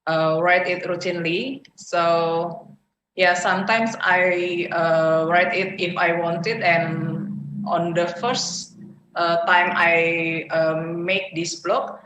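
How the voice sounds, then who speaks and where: A woman's voice: lowish voice, steady tone, slow pace, fairly bright tone, reverberant, lo-fi sound, a little hiss or hum. A woman with an Indonesian accent giving a prepared speech at an online club meeting, hesitating often with "uh" fillers. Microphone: video call.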